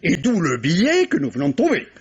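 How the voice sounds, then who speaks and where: A formal, drily ironic inspector questioning suspects in a radio mystery. His voice is husky and very animated. The old recording is dull. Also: on the high side, a little fast, full character voice. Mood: wryly probing. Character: probing, wry, authoritative male police inspector. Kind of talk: French radio mystery play acting.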